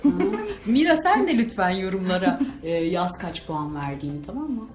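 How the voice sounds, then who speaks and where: A woman's voice: on the low side, big pitch swings, moderate pace, echoey room, music in the background. A woman hosting a video, chatting casually and playfully, with exclamations during a makeup challenge. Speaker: video host.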